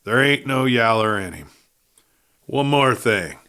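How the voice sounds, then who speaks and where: A man's voice: lively intonation, slow pace, pushed and bright. A man voicing a dying Western outlaw in an audiobook, desperate and sneering, speaking urgently in a rustic cowboy drawl as he gasps out a confession. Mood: urgent pleading. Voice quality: low, breathy. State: gasping, near death. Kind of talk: audiobook character voice.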